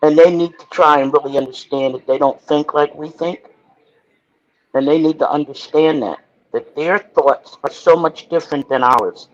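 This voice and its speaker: A middle-aged woman with a deep voice, a mother joining a casual chat as a guest and teasing with jokes. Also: normal speed, muffled recording. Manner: teasing, laughing, jokey.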